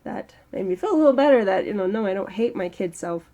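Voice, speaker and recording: A woman in her early thirties talking slowly and reflectively in a personal vlog, with a strained throat. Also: medium pitch, expressive, mellow, lo-fi sound. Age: early 30s. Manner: slow, halting, reflective. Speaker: channel host. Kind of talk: personal mental health vlog monologue.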